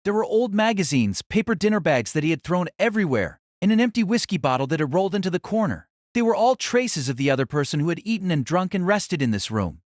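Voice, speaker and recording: A man's voice: high-pitched, sing-song, fast, hardly any pauses, dry recording, studio quality. A man narrating a scripted audio drama as a storyteller.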